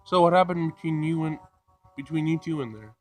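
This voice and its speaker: A man's voice: on the high side, a little fast, darkish tone, faint music behind, natural voice. Man playing a nosy, teasing young woman. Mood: prying. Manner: prying, teasing.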